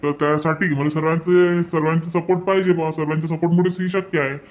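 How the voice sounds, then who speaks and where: A man's voice: on the high side, flat delivery, quick pace, lo-fi sound, close to an everyday voice. A man voicing an earnest, community-minded village headman in a cartoon, speaking folksy, repetitive rural Vidarbha Marathi like someone making a little speech. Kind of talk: hobby cartoon voice-over, village dialogue.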